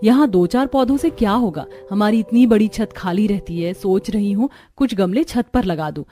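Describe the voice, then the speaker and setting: A woman voicing a gentle, earnest young village daughter-in-law in a cartoon. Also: medium pitch, lively intonation, normal speed, soft background music, close to an everyday voice.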